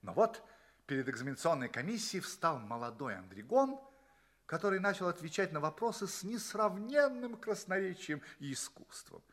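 An actor playing a courteous space traveller from Earth, narrating his tale expressively and deliberately in an audio play. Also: sits high, very animated, a bit bright, full character voice. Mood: solemn, pleased. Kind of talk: theatrical first-person audio play narration.

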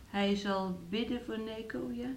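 A woman's voice: fairly high pitch, fairly even intonation, a little slow. An actress playing a sick, bedridden young woman in a radio play, plaintive and pleading with her fiancé. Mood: feverish, weary. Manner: plaintive, speaks of herself in third person.

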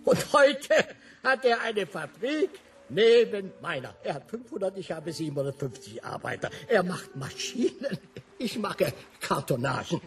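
An actor playing a pompous, boastful old French gentleman in a radio play, courtly and self-important. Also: high-pitched, sing-song, a little slow, far from the mic, exaggerated voice. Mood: pompous, indignant. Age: older.

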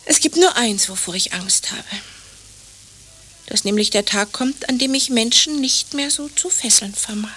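An actress in a German radio play playing a breezy, coquettish married woman with a husky voice. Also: a little high, normal speed, background noise, lightly acted. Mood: coquettish, confiding.

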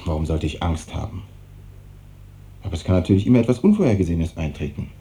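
Evasive hospital doctor, very lively pitch. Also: male voice, quick pace. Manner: evasive, hesitant. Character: evasive, brisk hospital doctor, uneasy with hard truths. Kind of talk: 1950s German radio drama acting. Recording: noisy old 1950s radio recording with rumble.

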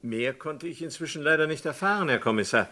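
A man's voice: a little high, animated, a little fast, noisy background, natural voice. A man playing an inquisitive, keen and polite investigator in a German radio crime drama of the mid twentieth century, with probing, theatrical inflection. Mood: keen, questioning.

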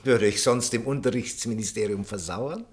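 A man's voice: lowish voice, normal speed, natural voice. An actor playing a servile, ambitious, scheming male minister in a German radio play, with an obsequious, theatrical way of talking. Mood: ingratiating.

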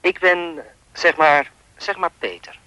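A creepy male caller in a radio play, with a bright, dry sound and lively, swinging intonation. He is insinuating and persistent, needling his listener and refusing to let go. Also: a little high, takes it slowly, no room sound, full character voice, low-quality recording. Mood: coaxing. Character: creepy insistent male anonymous caller toying with woman.

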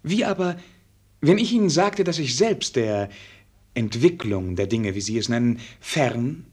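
An actor playing a melancholy, self-doubting young prince in a radio play, speaking formally and earnestly. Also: male voice, mid-range voice, sing-song, measured pace, a little mellow, husky. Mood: formal, doubtful. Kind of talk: German radio play acting.

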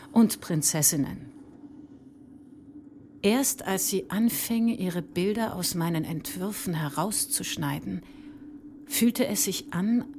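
A woman playing a reflective, candid mathematician and mother in a measured, introspective literary monologue. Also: on the low side, a little mellow, slight background noise. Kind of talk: literary first-person monologue over ambience.

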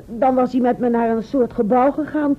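An actress playing a naive, artless young serving maid of olden times in a radio play. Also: female voice, a little high, steady tone, normal speed, natural voice. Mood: wistful. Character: naive, timid serving maid of centuries ago. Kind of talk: old Dutch radio play acting. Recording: thin, phone-like old radio recording.

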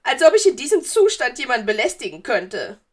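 A woman's voice: sits high, very animated, fairly quick, pitched above the performer's own voice. A woman voicing a whiny, self-pitying, lovesick teenage girl ghost in an audiobook, melodramatic and wheedling. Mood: indignant sobbing. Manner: sobbing, wheedling, melodramatic complaining. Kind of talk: audiobook character voice.

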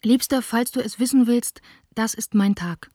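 A woman's voice: on the high side, moderate pace, mellow, dry recording, studio quality, natural voice. An actress playing a lovesick young woman who reads her intimate, wistful letters aloud in a radio play. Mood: longing.

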